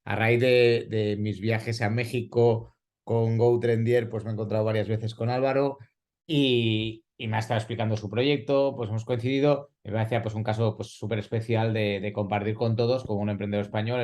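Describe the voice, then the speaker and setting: A man's voice: fairly low pitch, a little mellow. A man from Spain, hosting a podcast interview in an informal, slangy way over a call. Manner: informal, uses slang and asides. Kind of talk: casual interview.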